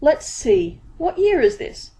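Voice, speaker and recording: A woman voicing a shifty, eager male pie seller with high, quick sales patter. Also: very animated, mellow, exaggerated voice.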